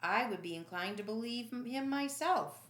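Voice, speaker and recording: A woman voicing gruff, matter-of-fact male prison guards in an audiobook story. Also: a little high, lively intonation, normal speed, fairly bright tone, reverberant, voice pushed up.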